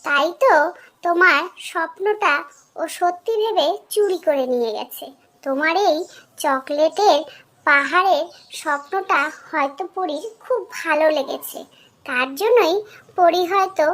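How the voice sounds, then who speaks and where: A woman voicing a helpful fox friend in a children's cartoon, explaining things in a gently bossy way. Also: very high-pitched, measured pace, long pauses, full character voice.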